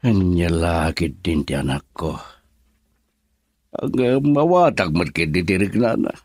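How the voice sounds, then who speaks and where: Isang lalaking gumaganap bilang nag-aalalang ama, na may punto ng Ilocano, sa isang radio drama. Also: male voice, low voice, lively intonation, unhurried, darkish tone, no echo, studio quality. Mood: worried. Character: concerned father.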